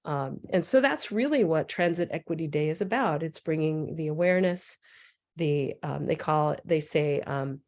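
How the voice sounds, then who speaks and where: Raspy hoarse woman with a low voice. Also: moderate pace, a little mellow. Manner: loose and rambling, with many fillers and restarts. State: hoarse from a cold. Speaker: transit equity advocate presenter. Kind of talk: informal presentation on a video call. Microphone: computer mic on a video call.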